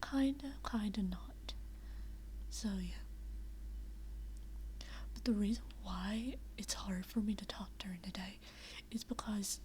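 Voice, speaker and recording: A young woman whispering quietly at night in a living room, chatting unscripted with many fillers and restarts. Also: mid-range voice, takes it slowly, long pauses, a little hiss or hum, poor audio. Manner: rambling with frequent fillers and restarts. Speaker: VRChat vlogger. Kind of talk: unscripted casual chat.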